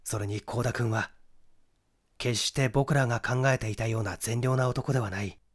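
A man's voice: medium pitch, steady tone, normal speed, close to an everyday voice. Methodical young detective, read by a man. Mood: grave. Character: young male amateur detective, methodical and assured.

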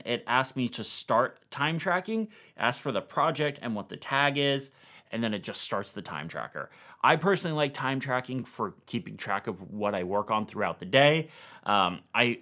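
A man's voice: mid-range voice, fairly bright tone. A man, narrating a tech tutorial in a casual, conversational, explanatory way. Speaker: tech video host.

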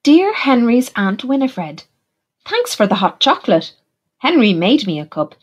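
Woman as cheerful male storybook bear, eager and polite, bouncy pitch, slightly husky, unhurried. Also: big pitch swings, heavily acted. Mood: friendly, eager. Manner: bright-spirited, eager, polite. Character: cheerful, enthusiastic male bear writing postcards.

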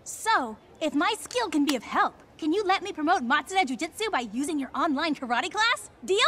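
A woman's voice: high-pitched, expressive, fairly quick, bright, forceful tone. A woman playing a brash, playful and bossy big sister who fights, in a video game cutscene, with a teasing, theatrical manner. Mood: cheerful, eager.

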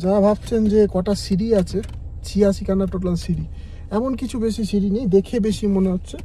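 Fast-talking high-voiced man vlogging. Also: steady tone. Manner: chatty, addresses viewers directly with tips. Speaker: travel vlogger. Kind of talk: casual travel vlog narration. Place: car and outdoor sightseeing spots. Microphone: phone held by the speaker. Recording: phone recording with loud road and outdoor noise.

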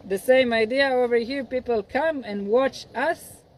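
A man in his forties, an agronomist, explaining farm work patiently in halting, non-native English beside a field. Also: very high voice. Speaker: agronomist guiding a farm tour. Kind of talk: outdoor field lecture. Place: outdoors by a cornfield.

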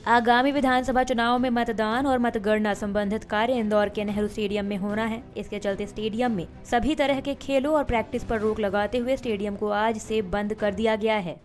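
A woman reading scripted news bulletins as anchor, in a formal, steady newsreader delivery. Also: a little high, moderate pace, few pauses, faint music behind.

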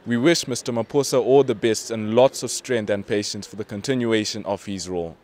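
A man's voice: on the low side, steady tone, fairly quick, a little mellow. A man presenting a school newsreel in scripted news narration.

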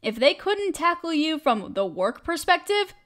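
High, animated caring best friend played by a woman. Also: unhurried, heavily acted. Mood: firm, encouraging. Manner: expressive, emphatic, casual with mild swearing. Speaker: voice actor in an audio roleplay. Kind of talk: best-friend comfort roleplay acting.

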